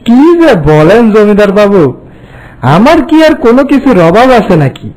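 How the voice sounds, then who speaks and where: Swooping, high-pitched male actor playing a sly cartoon swindler, wheedling, flattering and cocky. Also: normal speed, poor audio. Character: wily, smooth-talking village swindler flattering his victim. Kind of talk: Bengali folk-tale cartoon voice acting.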